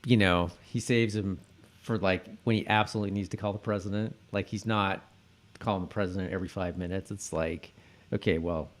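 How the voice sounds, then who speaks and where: Low-pitched man, halting casual talk. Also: steady tone. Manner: halting, repetitive, thinking aloud. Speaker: podcast co-host. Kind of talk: casual chat about a TV episode.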